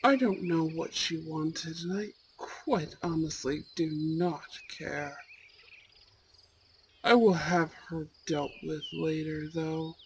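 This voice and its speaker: A woman acts out a possessive young school prince in an amateur ASMR roleplay. The delivery is slow, formal and imperious, with haughty disdain. Faint nature ambience runs behind the voice. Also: low-pitched, darkish tone, a little hiss or hum.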